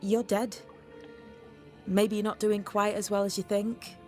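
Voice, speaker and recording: A woman playing a blunt, sly young witch's novice in a video game, wry and teasing in a deadpan way. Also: medium pitch, unhurried, faint music behind, close to an everyday voice. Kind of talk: video game cutscene acting.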